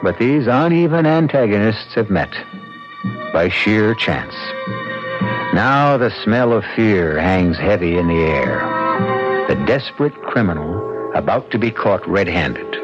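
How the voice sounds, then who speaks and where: A man narrating a radio drama from a script in a measured, portentous, dramatic way. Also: on the low side, big pitch swings. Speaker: narrator and host. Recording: muffled old radio broadcast. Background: dramatic music.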